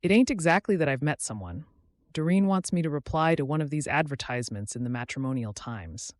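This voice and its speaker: A man's voice: on the high side, expressive, a little fast, no room sound, studio quality, natural voice. A man voicing a kindly, bashful older woman, a folksy frontier cook in an audiobook. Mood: earnest. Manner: folksy, dropped g's, homely endearments.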